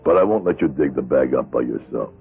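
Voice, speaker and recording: Muffled old radio play, deep male voice, middle-aged American ex-con, gruff, weary, guarded, sardonic. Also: lively intonation, fast talker, heavily acted. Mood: grudging, gruff. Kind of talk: radio drama acting. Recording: band-limited radio drama recording with music.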